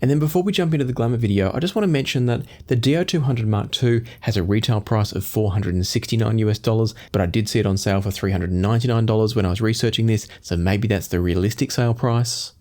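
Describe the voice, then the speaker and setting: Male reviewer, forties, fairly low pitch, measured explanatory delivery. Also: a little mellow. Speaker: audio gear reviewer and host. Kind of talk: product review to camera. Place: small home studio room indoors.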